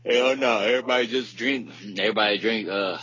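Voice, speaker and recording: A man's voice: lowish voice, a little fast, bright, forceful tone, a little hiss or hum. A man in a casual podcast chat, speaking in an emphatic, slangy way, repeating himself and swearing freely. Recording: dull lo-fi audio.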